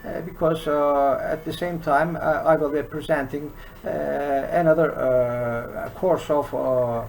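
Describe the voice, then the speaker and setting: Halting Turkish-accented older man teaching code. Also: a little high, fairly even intonation, vocal fry, darkish tone, a little hiss or hum, low-quality recording. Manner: halting, with many uh fillers. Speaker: programming teacher. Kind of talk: screen-recorded coding lesson. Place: small room indoors.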